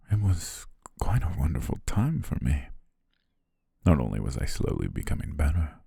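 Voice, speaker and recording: A man playing a courteous, devious hypnotist in an ASMR roleplay, speaking in a soothing, breathy whisper with genteel, deliberate pauses. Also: dark tone, no room sound, studio quality, heavily acted. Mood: fond, reminiscing. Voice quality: whispery, breathy, very low and gravelly. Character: devious, courteous male hypnotist welcoming a client.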